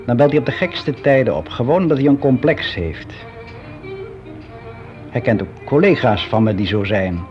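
An actor playing a weary, resigned office clerk around sixty, confiding in a halting, reflective way in a naturalistic radio play. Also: male voice, mid-range voice, expressive, soft background music, natural voice. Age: 60s. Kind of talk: naturalistic radio drama monologue. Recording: dull, noisy radio play recording.

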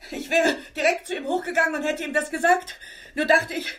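A woman's voice: high voice, fairly even intonation, a little fast, a bit bright. An actress playing a flustered, aggrieved landlady in a German radio drama, speaking in an agitated, insistent way. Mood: indignant, fed up. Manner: agitated, insistent, repeats herself. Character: indignant, flustered landlady at the end of her patience.

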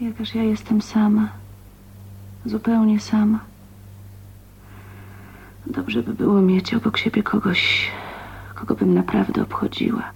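An actress playing a lonely, dreamy young woman musing to herself in a breathy, intimate radio drama monologue. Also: mid-range voice, unhurried, pauses often, darkish tone, exaggerated voice. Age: young adult. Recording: dull old radio recording with hum.

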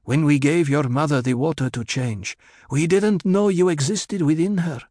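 Desert tribeswoman for audiobook, male narrator: grave, ceremonial, incantatory, pitched up. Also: normal speed, a little mellow, no echo, studio quality, natural voice. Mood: regretful.

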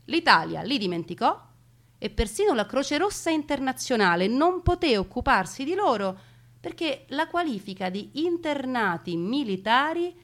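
A woman narrating a family wartime story as a theatrical monologue, with dramatic pauses and deliberate emphasis. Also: high voice, very animated, fairly bright tone. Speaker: stage narrator telling grandfather's wartime story.

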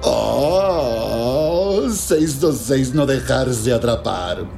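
Slow-talking alien mad scientist. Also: male voice, medium pitch, lively intonation, vocal fry, full character voice. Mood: sly, surprised. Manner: broken grammar, foreign-sounding villain speech. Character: proud male alien mad-scientist doctor. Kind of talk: children's audio story acting. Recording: music behind.

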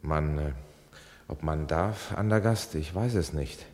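An actor playing a hesitant, pondering learned doctor in a German radio drama, halting as he weighs each word. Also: very deep voice, darkish tone. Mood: hesitant, grave. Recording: long reverberant hall.

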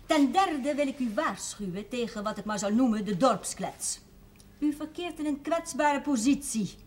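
High-voiced, domineering village wife. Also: female voice, animated, moderate pace, darkish tone. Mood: stern, lecturing. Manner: stern, haughty, lecturing. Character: domineering, indignant small-town schoolmaster's wife and mother. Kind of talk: television drama acting.

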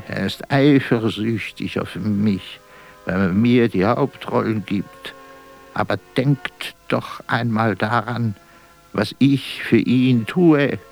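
Gravelly, slow-talking old vaudevillian. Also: male voice, mid-range voice. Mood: aggrieved, scolding. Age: older. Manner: theatrical, halting, breaking off and repeating himself. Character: faded old vaudeville actor, vain, rambling, forgetful, bitter. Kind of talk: radio drama monologue.

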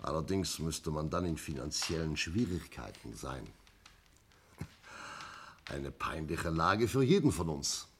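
An actor playing a stern, deliberate police inspector who speaks formally and probingly, in a German 1960s radio play. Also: male voice, very deep voice, sing-song, husky, full character voice. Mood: stern, measured. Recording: slightly dull 1960s radio recording.

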